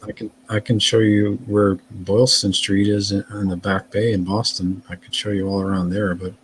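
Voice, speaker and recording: A man with a lowish voice talks in an easy, rambling way, stopping and starting with lots of fillers. It sounds like a relaxed live stream chat. A faint hiss of noise sits under him. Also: moderate pace, rough recording. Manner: rambling, hesitant, many fillers. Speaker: guest.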